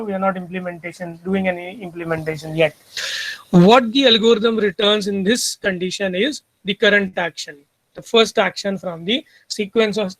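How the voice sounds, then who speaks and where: A man's voice: sits high, fairly bright tone, no echo, rough recording. A middle-aged man with an Indian English accent giving an online lecture, explaining step by step and often restarting his phrases. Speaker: university lecturer. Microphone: computer mic on video call.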